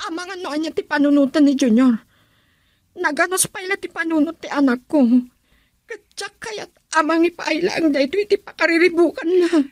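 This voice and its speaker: Troubled, dutiful young mother, Filipino accent. High-pitched, pleading radio-drama style, closely recorded without echo. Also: moderate pace, studio quality. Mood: hurt, worried. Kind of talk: Ilocano radio drama acting.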